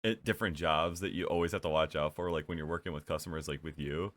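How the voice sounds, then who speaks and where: A man's voice: rumbling low, steady tone, quick pace, a bit bright. A young man with a stuffed-up nose hosting a casual chat, rambling with lots of fillers and giggles. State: stuffed up from allergies. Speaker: podcast host.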